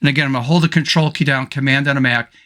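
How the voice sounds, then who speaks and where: A middle-aged man, slightly hoarse, talks through a photo edit in a relaxed way, full of little ums and restarts. His voice sounds bright and forward. He records at a desk mic in a home studio. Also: mid-range voice, rapid-fire. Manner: relaxed, thinking aloud, frequent ums and restarts. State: slightly hoarse, clearing throat. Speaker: photo editing tutor. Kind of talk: screen-recorded software tutorial.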